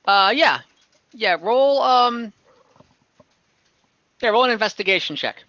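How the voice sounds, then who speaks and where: A man with a bright, slightly high voice runs a tabletop game as game master. He is easygoing and improvises his narration. The sound is dry, on slightly dull voice chat audio. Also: animated, takes it slowly. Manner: easygoing, loose with rules. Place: online voice call, dry close sound.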